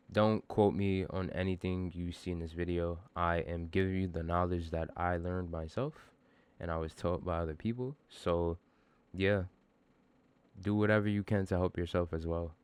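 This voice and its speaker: A man with a bassy voice giving a casual, slangy music production tutorial, informal and self-deprecating, with some swearing. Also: clean tone, dry recording.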